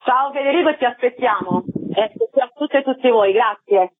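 A man's voice: extremely high pitch, lively intonation, fairly quick, over music. A man speaking as an interview guest on the phone, running through a programme of events. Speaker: interview guest, festival organiser. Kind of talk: spoken event rundown in radio interview. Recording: thin phone-like line.

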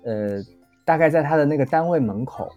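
A man chatting casually as an interview guest, halting and a little self-deprecating. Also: fairly high pitch, expressive, mellow, faint music behind. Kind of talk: casual anecdote and chat.

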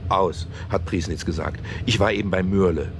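Male actor as halting storyteller, low male voice, conversational radio play, self-correcting, slightly noisy recording. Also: close to an everyday voice. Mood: absorbed storytelling. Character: man haltingly retelling a crime novel to his partner.

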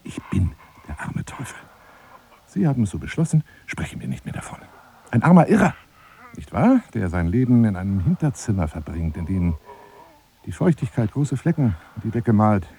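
A lonely, eccentric man wooing a woman in a radio play. His voice is deep and velvety dark. He speaks in a florid, self-dramatizing way, often sinking into hushed, confiding asides. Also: very animated, normal speed, gravelly, breathy edge, full character voice. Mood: offended, wounded.

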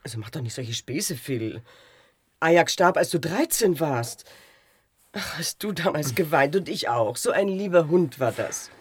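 Anxious, husky, doting mother. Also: low-pitched, animated, a little slow, no room sound, clean studio sound, exaggerated voice. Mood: gentle, saddened. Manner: fussing, tender, hesitant. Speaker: actress in a radio play. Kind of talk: German radio drama acting.

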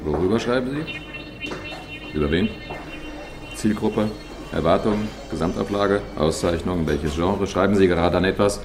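An actor playing a pompous, blunt middle-aged publishing boss in a radio play. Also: male voice, low-pitched, moderate pace, pauses often. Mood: brusque. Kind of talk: German radio play dialogue. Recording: music behind the voice. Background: music.

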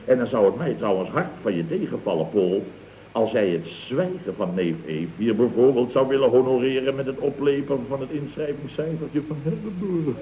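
A man's voice: medium pitch, lively intonation, normal speed, dark tone, natural voice. A man playing a scheming, self-pitying boss of a building firm in a radio comedy drama, theatrical and wheedling. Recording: dull old radio recording with hiss.

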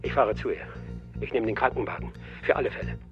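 Worried doctor, dull phone sound, clipped, urgent, music underneath, radio drama. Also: male voice, lowish voice, big pitch swings, quick pace. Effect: telephone filter. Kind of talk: radio crime drama acting. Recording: dull telephone-like sound over loud music.

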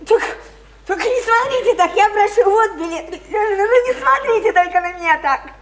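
A woman's voice: very high-pitched, lively intonation, normal speed, echoey room. An actress playing a simple-hearted, devoted woman near thirty in a radio play, imploring and naively repeating herself. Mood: tearful pleading. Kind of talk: theatrical radio play acting. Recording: faintly noisy 1991 radio play recording.

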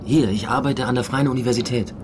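An actor playing an apologetic university lecturer in a German radio play, natural and understated. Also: male voice, mid-range voice, steady tone, fast, lightly acted. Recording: train noise behind the voice.

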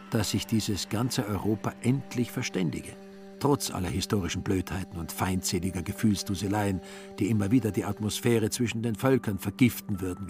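A man's voice: on the low side, gravelly. A man narrating a literary radio play in a scripted, dryly ironic storytelling manner.

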